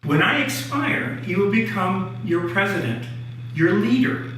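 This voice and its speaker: Man acting a grandiose ringmaster in deep echo. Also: expressive, a little slow, fairly bright tone, slight background noise. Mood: proud, proclaiming. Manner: declamatory, speech-making. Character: grandiose ringmaster leader addressing his public by video. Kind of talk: radio drama acting. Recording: very echoey, distant, music behind.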